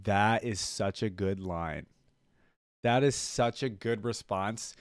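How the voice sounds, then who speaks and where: A man's voice: on the low side, takes it slowly, soft background music. A man giving casual reaction commentary as host of a gaming video.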